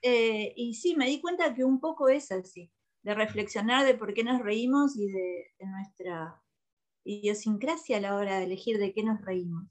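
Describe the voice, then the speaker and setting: A woman's voice: mid-range voice, normal speed. A woman with a Uruguayan accent, a radio co-host and comedian, chatting casually as the guest in a radio interview.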